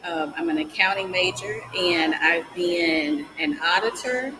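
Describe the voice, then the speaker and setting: Southern woman consultant, lowish voice. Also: unhurried, over music, low-quality recording. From Mississippi. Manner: frequent ums, explanatory. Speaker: guest, business consultant. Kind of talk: casual interview talk.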